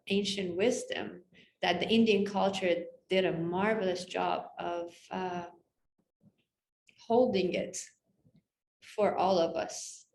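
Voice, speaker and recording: A woman hosting a video interview, speaking in a gentle, measured and welcoming way. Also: medium pitch, roomy sound, slight background noise, poor audio. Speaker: host.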